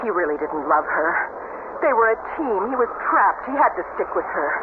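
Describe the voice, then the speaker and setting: A woman's voice: medium pitch, very animated, moderate pace, lightly acted. Actress as jealous, vengeful woman, tinny radio. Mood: menacing confession. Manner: bitter, menacing, dramatically emphatic. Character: jealous, vengeful murderous woman, obsessed with her brother. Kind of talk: old-time radio melodrama acting. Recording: noisy, tinny old radio broadcast.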